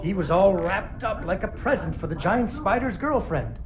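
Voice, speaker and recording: A woman's voice: mid-range voice, expressive, normal speed, crowd noise, natural voice. A woman voicing a young girl who narrates a puppet-show story in a video game, in a sing-song storybook manner. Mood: storybook telling. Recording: game audio with music behind.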